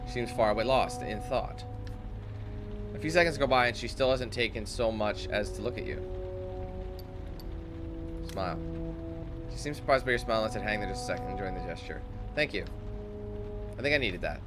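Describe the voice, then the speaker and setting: A man's voice: fairly low pitch, brisk, pauses often. Chatty man narrating his gameplay. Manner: chatty, thinks out loud, talks to viewers as squad. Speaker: gaming YouTuber. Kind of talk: let's-play commentary mixed with reading game text aloud. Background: game music.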